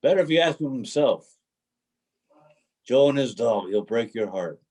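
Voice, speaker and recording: A man's voice: medium pitch, lively intonation, moderate pace, a little mellow, slightly rough. A man playing a homeless drifter in a play reading, a cynical, bruised romantic who tells his story in a folksy, dramatic way. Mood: rueful. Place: remote video call reading.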